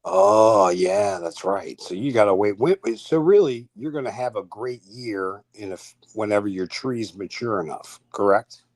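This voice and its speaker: A man's voice: fairly low pitch, normal speed, hardly any pauses, a little mellow. A man in casual conversation, speaking informally and chiming in as a chat partner. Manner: informal, interjecting.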